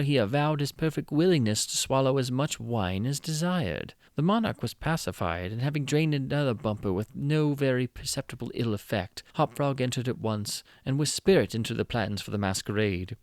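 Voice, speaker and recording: A man doing an amateur dramatic reading of a classic tale in a measured, even storytelling voice. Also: mid-range voice, no room sound, studio quality. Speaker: amateur reader.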